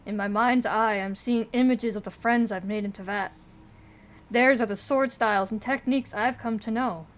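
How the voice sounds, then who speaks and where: A woman's voice: a little high, steady tone, moderate pace. A woman doing casual livestream commentary on a game, with sarcastic asides and swearing, sometimes reading dialogue aloud. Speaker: game streamer. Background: video game music.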